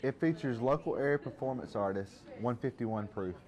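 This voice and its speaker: A man with a medium-pitched voice co-hosts a college television show. He delivers scripted links and sign-off announcements to the viewers. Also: normal speed, a little mellow, dull audio. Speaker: TV show co-host.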